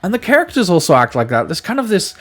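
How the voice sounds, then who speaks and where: A man's voice: high-pitched, wildly swooping pitch, fast, a bit bright, faint music behind. A man giving a casual, conversational video game review, speaking emphatically and often stammering or repeating his words.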